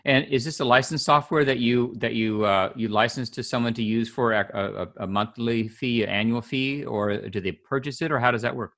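A man's voice: mid-range voice, normal speed, hardly any pauses, a bit bright. A man hosting an interview, asking long questions that he often rephrases midway. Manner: rambling, reformulates questions. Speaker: interviewer, host.

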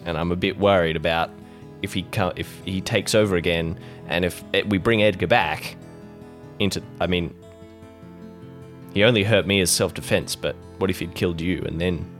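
A man voicing a worried, apologetic man explaining himself haltingly in a tabletop role-playing show. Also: deep voice, voice pushed down, close to an everyday voice.

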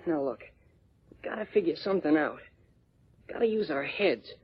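A man's voice: a little high, animated, moderate pace, slightly rough. An actor playing a brash, feisty teenage boy reporter, slangy and tough-talking, in a 1940s radio serial. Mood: urgent, determined. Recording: muffled 1940s radio recording.

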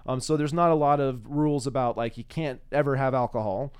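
A man's voice: medium pitch, fairly even intonation, brisk, clean tone. A man hosting a podcast, chatting informally and laughing easily as he interviews a guest. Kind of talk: casual interview chat.

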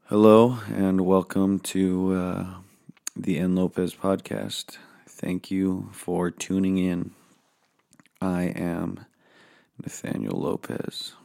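A man hosting a casual solo podcast from his home office, rambling and swearing freely, with a hoarse voice. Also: sits low, little pitch movement, slow pace, mellow. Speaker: podcast host.

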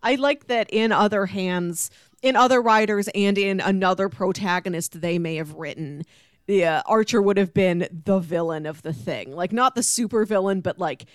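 A woman's voice: medium pitch, moderate pace, no room sound, clean studio sound. A woman hosting a podcast, chatting casually about a book and acting out bits as she retells it. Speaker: podcast host.

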